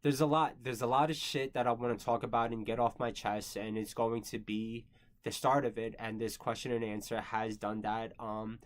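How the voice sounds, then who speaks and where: A man's voice: mid-range voice, level tone, moderate pace. A man in his thirties, born in the Philippines and not a native English speaker, rambling through a casual personal monologue with many fillers and some swearing. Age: 30s. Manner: rambling, filler-heavy, frequent "you know" and "um". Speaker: creator answering viewer questions.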